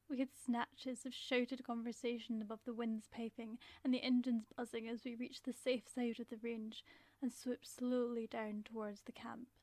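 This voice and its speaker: An actress playing a haunted woman professor who solemnly narrates a grave warning in a 1930s radio drama, with formal, deliberate phrasing. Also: a little high, no room sound, close to an everyday voice.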